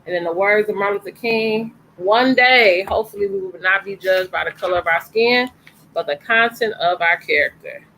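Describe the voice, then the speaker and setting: A middle-aged woman chats through a picture book, cheering on her young reader and recapping each page. Her pitch sits mid-range for a woman. A faint hum of background noise stays under her voice. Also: moderate pace, sharp tone, low-quality recording. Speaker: story time host. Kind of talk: casual read-aloud with commentary.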